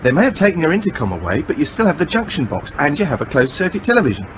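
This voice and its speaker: An actor playing a self-assured, practical technical whiz aboard a submarine in a radio drama, with a wry manner. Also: male voice, a little high, wildly swooping pitch, rapid-fire, slight background noise. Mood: wry, confident.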